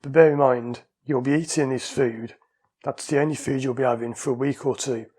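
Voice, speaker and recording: UK man, husky, mid pitch, casual rambling vlogger who keeps correcting himself. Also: steady tone, brisk, a little mellow. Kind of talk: casual talking-to-camera vlog.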